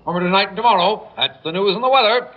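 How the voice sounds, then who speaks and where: An actor playing an upbeat pop disc jockey giving 1960s-style radio patter. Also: male voice, high-pitched, rapid-fire. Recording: dull old radio recording.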